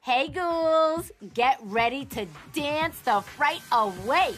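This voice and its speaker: A woman's voice: high-pitched, slow. A woman playing an upbeat, rallying teenage monster girl in a children's cartoon, with a peppy, encouraging delivery. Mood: excited. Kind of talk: animated children's cartoon acting. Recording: music under the voice.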